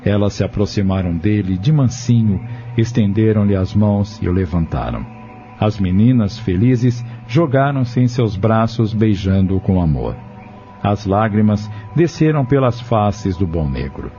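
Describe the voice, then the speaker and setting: A man's voice: on the low side, lively intonation, measured pace, mellow. A man with a Brazilian accent reading scripted narration and announcements for a radio drama. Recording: dull lo-fi radio recording with music.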